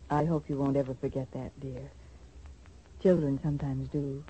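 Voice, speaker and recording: Low-voiced, worried, gentle mother. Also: steady tone, slow, a little hiss or hum, poor audio. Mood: earnest advising. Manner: hesitant, earnest, tender. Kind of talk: 1940s radio soap acting.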